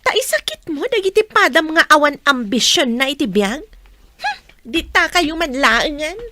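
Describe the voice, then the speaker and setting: An actress with a northern Philippine accent playing a haughty, sharp-tongued, ambitious young woman in a radio drama, with a scornful, cutting manner. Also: high voice, big pitch swings, moderate pace, exaggerated voice.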